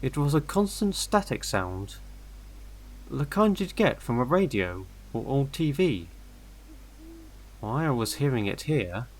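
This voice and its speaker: A man narrating a scripted first-person horror story in a measured, deliberate way with dramatic pauses. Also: medium pitch. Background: eerie background music.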